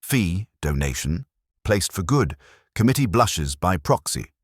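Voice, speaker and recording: Guy doing a dry reserved detective inspector, few words, polite, super low voice, audiobook style. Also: very animated, measured pace, no room sound, heavily acted. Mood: note-taking.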